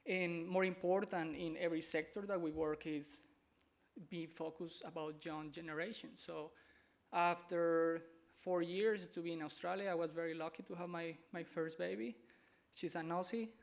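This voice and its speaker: A man with a Colombian Spanish accent presents to a conference audience, his voice somewhat high with a gravelly edge. He talks haltingly, restarting phrases and hunting for English words. Spanish is his first language. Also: steady tone. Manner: halting, searching for words, repeats himself. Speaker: conference speaker. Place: conference hall.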